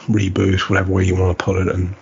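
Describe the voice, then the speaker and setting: A man's voice: low voice, monotone, fast talker, gravelly, darkish tone, breathy edge. An Irish man hosting a podcast in a casual, chatty and rambling way with a wry edge. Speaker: podcast host. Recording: dull, muffled lo-fi audio.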